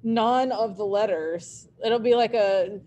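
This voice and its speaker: A woman's voice: mid-range voice, slow pace, mellow. A woman in her thirties, a teacher chatting casually with students on a video call, musing aloud and laughing easily. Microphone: laptop webcam, video call.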